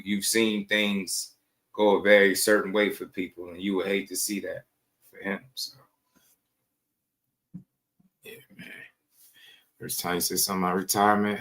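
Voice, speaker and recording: A man's voice: lowish voice, sharp tone. A man co-hosting a casual boxing talk show, speaking in a slangy, conversational and emphatic way. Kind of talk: casual sports discussion.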